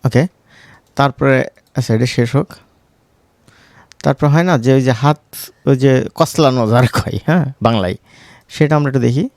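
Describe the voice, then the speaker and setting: Man, Bangladeshi Bengali accent, gravelly voice, informal tutorial, frequent check-in questions, close dry sound. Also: medium pitch, normal speed, long pauses, darkish tone, no echo. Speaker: tutorial instructor. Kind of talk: informal screen-recorded software tutorial.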